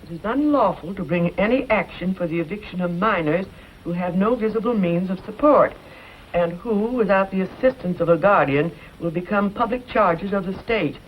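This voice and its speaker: A woman's voice: mid-range voice, moderate pace, close to an everyday voice. An actress playing a brusque, businesslike older lady in an old western drama, emphatic in manner, on a dull, noisy recording.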